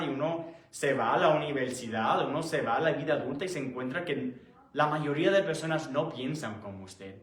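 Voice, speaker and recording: A man giving a conversational Bible study, explaining haltingly and often rephrasing as he goes. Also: medium pitch. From born in Puerto Rico, raised in the United States. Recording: roomy, echoing sound.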